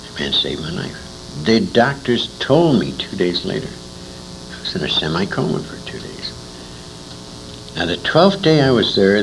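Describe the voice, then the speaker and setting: An American man in his sixties telling anecdotes in an interview, in a dramatic, emphatic and salty storytelling manner. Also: vocal fry. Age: 60s. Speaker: interviewee, former radio actor. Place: recorded interview at a convention. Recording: noisy 1980s amateur tape with rumble.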